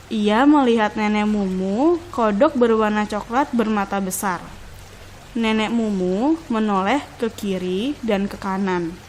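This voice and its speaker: A woman reading a children's storybook as narrator, in expressive, deliberate, measured phrases. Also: mid-range voice, slight background noise.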